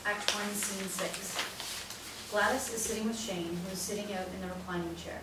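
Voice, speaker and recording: Woman, play-reading narrator, scripted stage directions, mid pitch, distant mic, heavy room echo. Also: steady tone, normal speed, very noisy.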